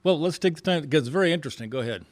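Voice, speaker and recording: A man's voice: fairly high pitch, animated, very fast. A man chairing a club meeting informally, speaking in a polite, hesitant and rambling way.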